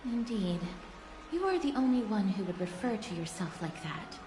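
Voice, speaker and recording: A woman voicing a polite, composed young woman in a video game, speaking in a formal, measured way. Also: medium pitch, sing-song, roomy sound, noisy background, lightly acted. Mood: calm.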